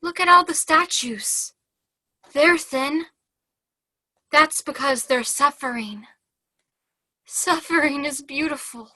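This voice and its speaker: A young woman acting a fragile, devout and troubled young nun in a halting, childlike stage monologue. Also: high voice, bright, forceful tone, dry recording, exaggerated voice. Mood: anguished. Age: teens. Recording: video call.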